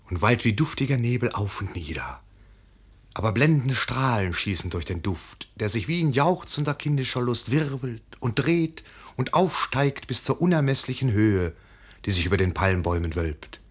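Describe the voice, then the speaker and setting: A man's voice: mid-range voice, expressive, normal speed, breathy edge. A man narrating a radio play in a lyrical, rapturous way. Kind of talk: scripted narration in radio drama.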